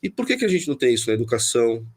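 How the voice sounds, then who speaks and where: A man's voice: mid-range voice, big pitch swings, breathy edge. Paulistano man musing over politics. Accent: Paulistano Brazilian Portuguese. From São Paulo city, Brazil. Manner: thoughtful, restarts often, pauses to find words. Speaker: interview guest. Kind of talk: political interview.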